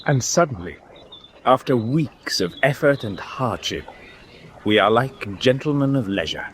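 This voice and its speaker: A man playing a reflective British naval officer, narrating an expedition in a measured, suspenseful radio drama style. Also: lowish voice. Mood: relieved, reflective. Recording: clear background ambience noise.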